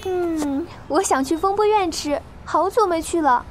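A woman's voice: very high voice, measured pace, noisy background. A woman playing a sweet, girlish, affectionate young woman in an audio drama, with a coy, adoring manner. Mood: affectionate, pleading. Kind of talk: Chinese audio drama acting.

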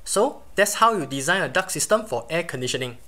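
A tutorial host reads a scripted technical lesson in a steady, methodical voice of ordinary middle pitch. Each step of the explanation is laid out plainly and evenly. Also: brisk. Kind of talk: scripted instructional narration. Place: voice-over over drawings and charts.

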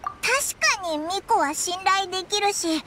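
Squeaky little fairy sidekick. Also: female voice, animated, takes it slowly, pushed and bright, slight background noise, exaggerated voice. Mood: worried. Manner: blunt, boyish rough speech. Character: tiny floating fairy companion, childlike, outspoken, easily flustered. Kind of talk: anime game voice acting.

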